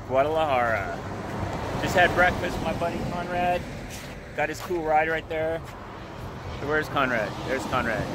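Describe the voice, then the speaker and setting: A man in his forties chatting casually to his phone camera on a road trip, from the car and at roadside stops. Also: medium pitch. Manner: relaxed, chatty narration to camera. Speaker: travel vlogger. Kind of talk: casual travel vlog. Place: inside a car while driving, also outdoors at stops. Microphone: phone camera at arm's length. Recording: car and road noise behind.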